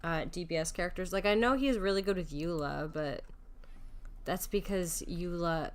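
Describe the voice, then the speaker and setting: A woman's voice: on the low side, normal speed. A woman doing casual live video game commentary, chatty and self-mocking as she thinks aloud.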